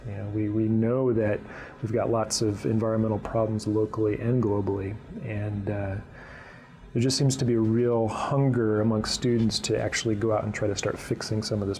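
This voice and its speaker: A man with a low voice that carries a strong gravelly fry. He talks as a college instructor, explaining things informally and earnestly, as if in an interview. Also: darkish tone.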